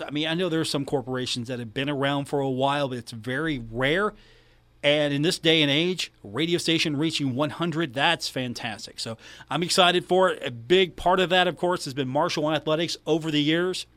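A man's voice: fairly high pitch, brisk, a bit bright, no echo. An American man hosting a sports talk radio show, chatting in a conversational, enthusiastic way.

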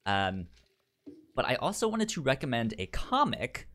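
A man's voice: mid-range voice, huge pitch swings, normal speed, fairly bright tone. A man chatting casually as a podcast co-host, often stammering and repeating words and syllables. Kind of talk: casual movie podcast chat.

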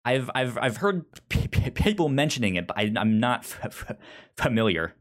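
A man's voice: medium pitch, sing-song, normal speed. A man hosting a casual podcast interview, hesitant and stammering a little as he talks.

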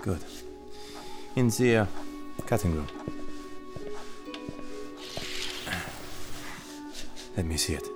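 A man's voice: low voice, expressive, moderate pace, over music. An actor playing an anxious, secretive French father in an audio drama, hesitant and guarded.

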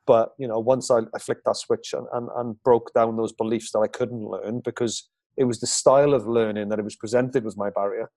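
A man's voice: mid-range voice, steady tone, darkish tone, dry recording. A man hosting a podcast, chatting in an upbeat, encouraging way. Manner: upbeat, encouraging, quick talker. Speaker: podcast host. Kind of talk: casual interview chat.

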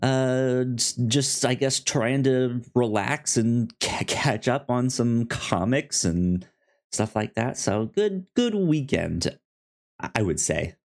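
A man's voice: medium pitch, animated, slow pace, a little mellow. A man co-hosting a podcast in casual conversation, with a stutter that repeats syllables and short words. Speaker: podcast co-host. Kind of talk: casual chat and show recap.

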